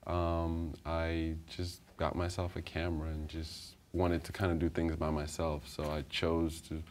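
A man from Guyana, a photographer guest in a TV studio interview, speaking hesitantly with many pauses and ums. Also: extremely low pitch, monotone, a little mellow, slight background noise. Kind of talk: casual interview talk. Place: TV studio, indoors.

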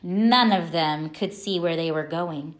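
A woman reading a children's picture book aloud as a storyteller, expressively and deliberately, with dramatic pauses. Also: lowish voice.

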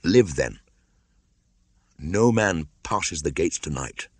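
A man's voice: rumbling low, lively intonation, takes it slowly, darkish tone, slightly rough, dry recording, exaggerated voice. A man reading a storybook aloud, voicing a stern young jungle-raised boy who speaks in an old-fashioned, commanding way. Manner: stern, archaic speech.

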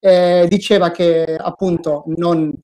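Man, middle-aged, native Italian, webinar host, polite, halting, video call. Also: high-pitched, little pitch movement, warm tone. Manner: hesitant, polite, with restarts. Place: home with stairs, indoors.

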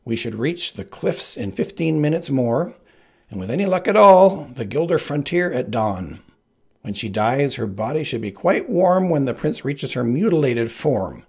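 A man's voice: mid-range voice, big pitch swings, moderate pace, vocal fry, a little mellow, husky, voice pushed up, exaggerated voice. A man voicing a conceited male kidnapper who makes boastful, menacing threats in a storybook. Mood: gloating. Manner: shrieking, gloating threats.

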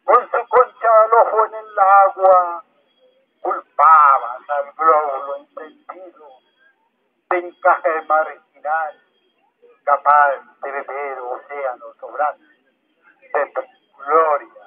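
A man's voice: on the high side, steady tone, slow, pauses often, phone-quality sound. A man reciting poetry as a reader at a public event in an outdoor park. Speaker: poet reading in public. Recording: thin, band-limited megaphone sound outdoors.

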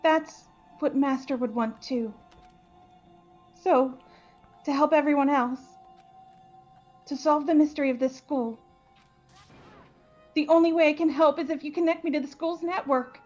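A woman voicing a gentle, timid but determined boyish AI program in a game fan dub, earnest and hesitant. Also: high-pitched, a little slow, pauses often. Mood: earnest, brave. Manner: hesitant, earnest, soft-spoken pleading. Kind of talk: visual novel fan dub voice acting. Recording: slightly dull, band-limited, music behind.

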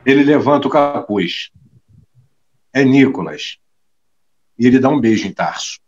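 Mid-pitched male audio drama narrator. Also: expressive, unhurried, a little mellow, highs cut off. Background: clear music.

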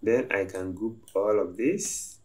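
Brief: male tutorial host, deliberate and instructive, low-pitched, unhurried delivery. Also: darkish tone. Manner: slow, step-by-step instructive talk. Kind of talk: screen-recorded software tutorial narration.

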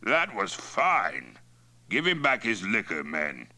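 A man's voice: on the low side, wildly swooping pitch, slow pace, bright, forceful tone, exaggerated voice. A man voicing a hearty, boastful Mongol chieftain in an audiobook, blustering and jovial with an edge of menace. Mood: boastful, drunk. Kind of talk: acted dialogue in audiobook narration.

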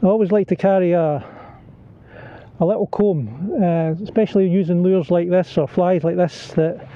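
A man in his thirties talking to the camera while fishing outdoors, chatty and excited, calling viewers "guys". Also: sits high, moderate pace. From United Kingdom. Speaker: fishing vlogger. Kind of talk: casual on-camera fishing commentary. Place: outdoors, wading in a sea loch under cloudy skies. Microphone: camera on a pole nearby. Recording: dull lo-fi outdoor audio with faint wind and water.

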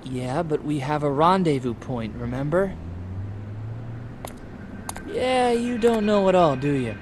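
A man's voice: a little high, animated, a little slow, noisy background. An actor playing an anxious young man on the run. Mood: wary.

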